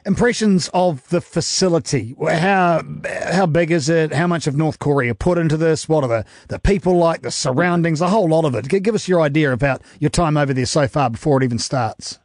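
A man's voice: on the high side, brisk, hardly any pauses, darkish tone, no echo, studio quality. A man hosting a radio show, asking questions in a casual, chatty way. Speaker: radio host.

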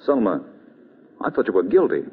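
A man's voice: fairly low pitch, sing-song, slightly rough, full character voice. An actor playing a desperate, guilt-stricken devoted man, impassioned and melodramatic, in an old radio drama. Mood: frantic. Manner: halting then frantic, impassioned. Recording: tinny, echoing old radio recording.

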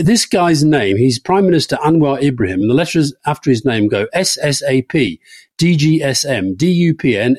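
A man's voice: mid-range voice, dry recording. Wry man co-hosting a politics podcast. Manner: wry, teasing, many restarts. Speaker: podcast co-host. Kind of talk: political discussion.

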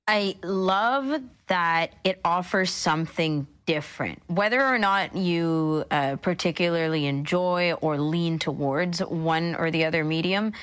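A woman's voice: lowish voice, a little slow, hardly any pauses, a bit bright, clear, resonant tone. A woman co-hosting a talk show, chatting casually and adding comments and questions. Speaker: co-host. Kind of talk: casual discussion.

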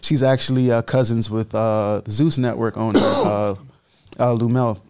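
A man's voice: mid-range voice, fairly even intonation, moderate pace, darkish tone, smooth. A young man from the Bahamas, a guest entrepreneur telling his story in a casual interview and acting out the quotes.

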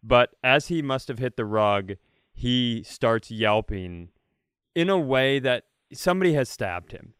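Male comedy podcast host: smooth, clean voice, dry close sound, animated casual storyteller. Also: medium pitch, a little slow, no echo.

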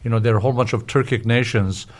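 An older man from Syria explaining history as an interview guest, haltingly, searching for words and repeating himself. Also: medium pitch, steady tone.

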